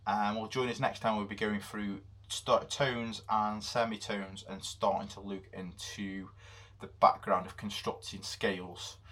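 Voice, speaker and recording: A man with a deep, low voice talks through a music theory lesson. His explanation is loose and unscripted, full of ums and pauses. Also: little pitch movement, a bit bright. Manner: unscripted, halting explanation with many fillers. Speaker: music teacher.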